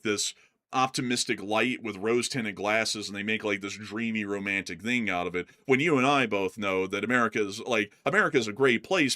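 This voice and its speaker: Casual host, male, bright voice, rambles with fillers, talks while sharing his screen. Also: fairly low pitch. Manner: rambling, lots of fillers, laughs easily. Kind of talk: casual chat.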